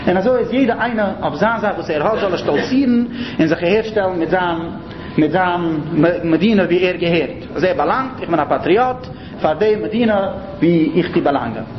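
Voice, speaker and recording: A man's voice: high-pitched, brisk. A man with a Yiddish accent giving an explanatory lecture in a didactic way, repeating and rephrasing his points. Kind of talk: explanatory religious-political lecture. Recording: muffled, noisy, echoey room recording.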